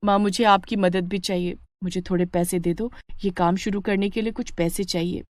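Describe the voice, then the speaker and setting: A woman voicing a determined, hopeful young village daughter-in-law, earnest and expressive, in a moral story. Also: lowish voice, moderate pace, no echo, lightly acted. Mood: gentle, earnest. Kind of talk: dramatic Hindi moral-story voice acting.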